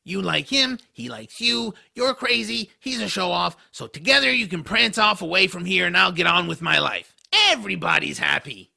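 Gravelly, high-pitched bitter troll. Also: male voice, sing-song, moderate pace, few pauses, bright, forceful tone, full character voice. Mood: bitter, mocking. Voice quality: gravelly, harsh, raspy. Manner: sardonic, grumbling. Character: bitter sarcastic male troll, former slave. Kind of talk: audio drama acting.

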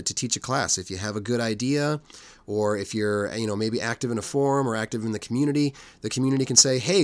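A hoarse man in his forties hosts a casual podcast, his voice a bit low from a cold. He rambles in a relaxed way, full of fillers, and the recording is dry and close. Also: dry recording. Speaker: podcast host.

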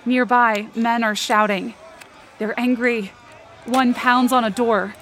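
A woman's voice: a little high, steady tone, slow pace, fairly bright tone, a little hiss or hum. A young woman playing an anxious but determined narrator in a supernatural audio drama, earnest and descriptive. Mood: tense. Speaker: narrator and lead character.

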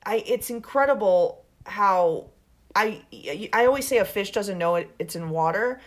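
A woman's voice: mid-range voice, expressive, unhurried. A Venezuelan woman comedian with a Venezuelan accent, chatting animatedly on a podcast, often restarting her sentences. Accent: Venezuelan Spanish. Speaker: comedian guest. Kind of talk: casual podcast chat.

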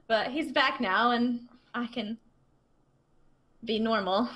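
A woman co-hosting a podcast, chatting casually, self-mocking, with quick reactions. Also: a little high, a crowd in the background. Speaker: podcast co-host. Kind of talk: casual chat.

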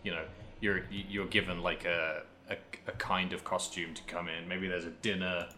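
Deep-voiced man chatting, bright edge. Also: flat delivery, normal speed, slight background noise. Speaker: podcast co-host. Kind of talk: casual video game podcast chat.

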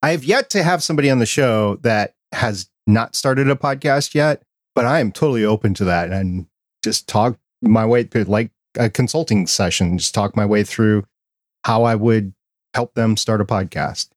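A man's voice: lowish voice, moderate pace, dry recording. Male podcast host, dry close sound. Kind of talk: casual interview chat.

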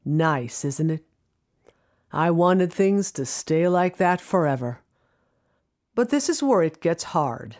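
Slow, low-voiced reflective woman narrator. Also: darkish tone. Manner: reflective, intimate storytelling. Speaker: writer, performer and narrator. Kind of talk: scripted first-person dramatic narration.

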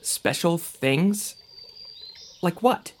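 An actor playing an earnest, excitable young male traveler in an audio drama, expressive and sometimes hesitant. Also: on the high side, drawn-out words, a little hiss or hum. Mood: curious, amazed. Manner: expressive, wide-eyed. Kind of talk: scripted audio drama acting.

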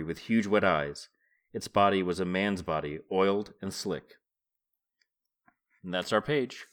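Male podcast host, middle-aged, slightly low pitch. Book narration and informal, reflective solo commentary. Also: fairly bright tone. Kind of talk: book read-aloud and casual solo commentary.

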